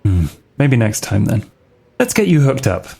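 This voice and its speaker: Medium-pitched man roleplaying, thinking aloud. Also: very animated, moderate pace, creaky, dark tone, husky. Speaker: player in a tabletop RPG. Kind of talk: casual in-character tabletop roleplay.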